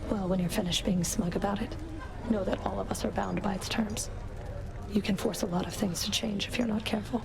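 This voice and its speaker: Woman voicing a gravelly, stern enforcer. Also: mid-range voice, steady tone, brisk, over music, natural voice. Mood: cold, sarcastic. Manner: sardonic, stern, clipped. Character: cold, menacing, contemptuous fury enforcer. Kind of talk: video game character acting.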